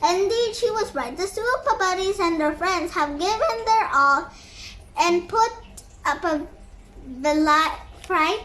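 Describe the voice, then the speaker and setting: A young girl narrates a storybook in a very high voice. Her reading is slow and expressive, with small stumbles on the words. There is faint noise behind her in the home recording. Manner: halting, stumbles over words. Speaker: book read-aloud narrator. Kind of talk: expressive storybook read-aloud with voiced sound effects.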